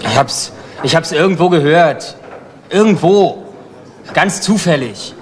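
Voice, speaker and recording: An actor playing a young homeless petty crook, defensive and evasive, halting and repeating himself in a crime radio play. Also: male voice, on the high side, animated, a crowd in the background. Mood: cornered, evasive. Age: young adult. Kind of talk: German radio crime drama acting. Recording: noisy retro radio drama recording.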